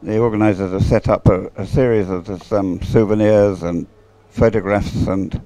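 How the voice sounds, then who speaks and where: A man's voice: lowish voice, fairly even intonation, moderate pace, warm tone. A British man giving casual, unscripted live sports commentary in a hesitant, rambling, observational way. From United Kingdom.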